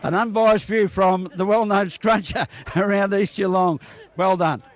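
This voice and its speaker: A man with a high voice interviews club members at an outdoor bowling green for a local sports report. He is jovial and likes to tease the people he talks to. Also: steady tone, a little slow. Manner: jovial, teasing. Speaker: bowls reporter.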